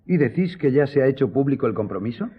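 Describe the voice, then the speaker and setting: Husky, inquisitive journalist. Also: male voice, mid-range voice, animated, fast. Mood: curious, courteous. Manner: courteous, inquisitive. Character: polite, inquisitive newspaper journalist, the count's friend. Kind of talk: Spanish radio drama acting. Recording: thin phone-like radio recording.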